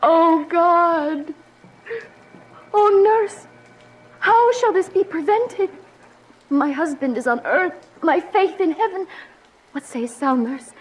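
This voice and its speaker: A woman's voice: extremely high pitch, expressive, slow pace, long pauses, full character voice. An actress playing a passionate, desperate young noblewoman in a Shakespearean radio drama, delivering verse formally and dramatically. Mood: pleading, distraught.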